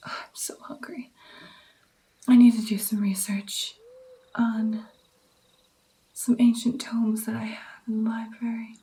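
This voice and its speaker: A woman doing whispered ASMR roleplay as a gentle, caring vampire mentor, with an intimate, breathy delivery. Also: a little high, poor audio.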